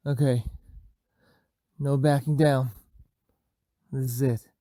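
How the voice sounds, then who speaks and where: A man's voice: mid-range voice, little pitch movement, slow, warm tone, no room sound, studio quality, natural voice. A young man playing an anxious, obsessively lovesick teenage boy, stammering and repeating himself in a motion comic drama. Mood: nervous, tender.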